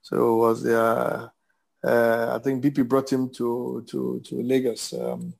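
Gravelly-voiced Nigerian man, halting delivery. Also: mid-range voice, animated, darkish tone. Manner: halting, hesitant, repeats words for emphasis. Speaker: interview guest, businessman. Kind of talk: reflective conversational interview answers.

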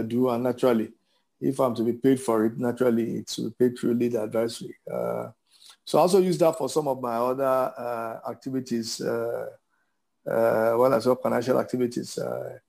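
Man, fifties, Nigerian accent, gravelly fry, medium pitch, reflective interview guest, halting, hesitant phrasing. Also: darkish tone. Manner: halting, hesitant, repeats words for emphasis. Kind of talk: reflective conversational interview answers.

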